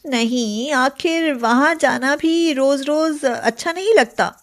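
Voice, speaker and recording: A woman's voice: sits high, slow. A woman playing a young, sly yet sweetly deferential daughter-in-law in an acted moral story. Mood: pleading.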